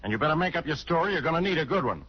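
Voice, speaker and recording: Blustery, husky police inspector. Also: male voice, on the high side, animated, racing. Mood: accusing, sarcastic. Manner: blustery, sarcastic, accusing. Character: gruff, sarcastic, suspicious police inspector, adult man. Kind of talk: 1940s radio crime drama acting. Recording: dull, band-limited 1940s radio recording.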